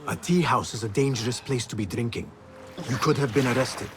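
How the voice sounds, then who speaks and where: Man playing principled young assassin, mid-pitched, probing, measured, video game drama, prominent music. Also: natural voice. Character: serious, principled young male assassin investigator.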